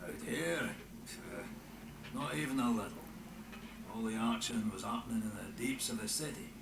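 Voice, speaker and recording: A man playing a gruff, teasing, loyal comrade with a folksy, bantering manner in a fantasy video game. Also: medium pitch, normal speed, reverberant, distant mic, noisy background, natural voice.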